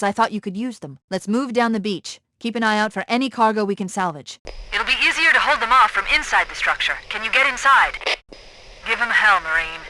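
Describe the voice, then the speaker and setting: An actress playing a brisk, confident, analytical female military AI in a video game, with precise, clipped phrasing. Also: medium pitch, pushed and bright. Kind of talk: video game voice acting, tactical briefing.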